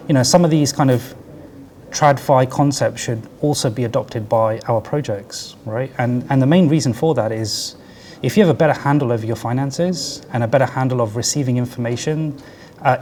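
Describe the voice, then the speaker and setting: A man with a quiet voice giving a conference talk as a finance chief, conversational and peppered with "right?" asides. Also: medium pitch, a little hiss or hum. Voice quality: soft, quiet voice. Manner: conversational, tag questions like "right?" and "yeah?". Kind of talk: conference presentation. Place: conference venue with audience.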